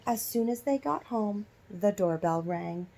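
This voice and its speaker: A woman's voice: medium pitch, lively intonation, a little slow, creaky, warm tone. A woman teacher reading a children's story aloud and prompting listeners with instructive questions.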